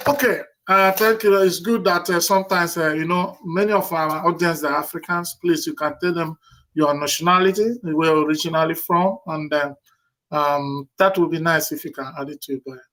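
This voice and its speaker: Male host with a slightly higher voice, courteous, rambling questions. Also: fairly even intonation, moderate pace, fairly bright tone, rough recording. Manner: rambling, polite, many fillers. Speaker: show host. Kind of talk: interview hosting.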